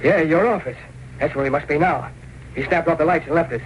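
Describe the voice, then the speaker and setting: An actor playing an excitable gangster henchman with slangy, agitated delivery in an old-time radio drama. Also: on the high side, fairly quick, a little hiss or hum, close to an everyday voice, poor audio. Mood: alarmed. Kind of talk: 1930s-40s radio drama acting.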